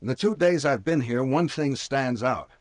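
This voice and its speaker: Actor as commanding, sardonic radio detective. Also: male voice, medium pitch, lively intonation, moderate pace, no echo, studio quality, close to an everyday voice. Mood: deliberate. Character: brisk, sardonic police captain detective questioning suspects. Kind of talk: old-time radio drama acting.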